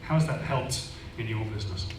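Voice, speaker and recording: A man's voice: fairly low pitch, expressive, fairly quick, background noise. A man from Manchester moderating a live panel in front of an audience, with jokey, teasing banter. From Manchester, England. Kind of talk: hosting a live panel discussion. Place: function room with an audience. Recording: echoey hall, distant mic.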